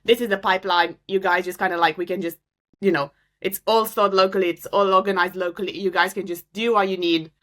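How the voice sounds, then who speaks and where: A woman's voice: medium pitch, steady tone, brisk, fairly bright tone. A young woman from Romania, an astrophysicist, answering interview questions casually with many fillers and restarts. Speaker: astrophysicist guest.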